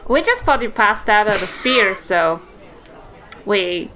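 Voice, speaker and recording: A woman's voice: mid-range voice, lively intonation, slow, sharp tone. A woman playing a kindly, fussing old granny adventurer in a tabletop game. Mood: dry, teasing.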